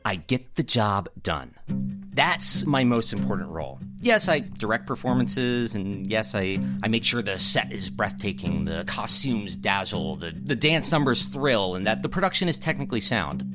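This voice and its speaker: A man's voice: on the low side, sing-song, moderate pace, over music. A man playing an ambitious musical theater director, narrating an audio drama in an earnest, emphatic way. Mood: confident. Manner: earnest, emphatic, self-assured. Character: ambitious, slippery, enthusiastic young male musical theater director.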